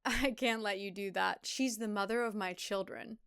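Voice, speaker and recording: A woman's voice: medium pitch, normal speed, gravelly, fairly bright tone, smooth. A woman voicing a condescending, menacing male cult leader and husband in a thriller audio drama. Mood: cold. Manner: condescending, mocking, self-righteous.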